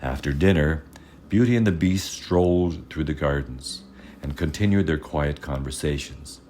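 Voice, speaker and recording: A man reading a fairy tale aloud as storyteller, measured and expressive in his scripted narration. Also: rumbling low, slight background noise.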